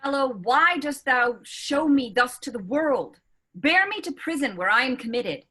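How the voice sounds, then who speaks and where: A woman playing a bitter, resigned young gentleman prisoner in a staged reading of Shakespeare verse. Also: high-pitched, expressive, measured pace, exaggerated voice. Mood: indignant.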